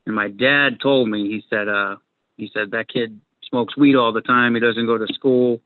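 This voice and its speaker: A man's voice: mid-range voice, fairly even intonation, moderate pace, dry recording. Man with a Massachusetts accent on a tinny call. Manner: rambling, repeats words, laid-back. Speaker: guest. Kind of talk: casual video call chat. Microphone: video call. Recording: dry tinny video call audio.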